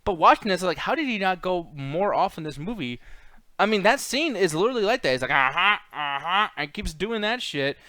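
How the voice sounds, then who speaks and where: Higher-voiced man, profane casual ranting. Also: big pitch swings, a little fast, pushed and bright, dry recording. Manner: mimics characters in mocking quotes. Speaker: podcast host. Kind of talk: casual opinionated movie ranking chat.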